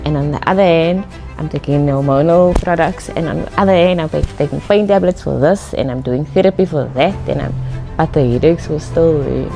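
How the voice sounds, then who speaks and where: A woman's voice: low-pitched, moderate pace, gravelly, over music. A woman in her thirties giving a candid, wry, somewhat rambling testimonial. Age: 30s. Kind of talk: testimonial interview.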